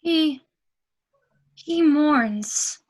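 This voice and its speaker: Very slow, high-voiced alien empath played by a woman. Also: expressive, darkish tone, exaggerated voice. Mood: sorrowful. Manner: halting, solemn, simple phrasing. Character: gentle female alien empath, strained and sorrowful. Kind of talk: amateur table read of a film script.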